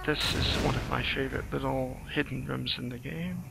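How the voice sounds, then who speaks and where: A man improvising playthrough commentary on a video game, thinking aloud in a hesitant, halting way with a gravelly voice. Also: medium pitch, fairly even intonation. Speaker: screenwriter doing game commentary. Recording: game music behind the voice. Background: video game music.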